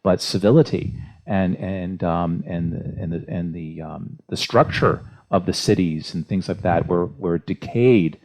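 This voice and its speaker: A man hosting a religious question-and-answer talk as a priest, speaking off the cuff and haltingly, often searching for words. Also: low-pitched, darkish tone. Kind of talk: unscripted church history discussion and reading listener questions. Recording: dull, muffled lo-fi audio.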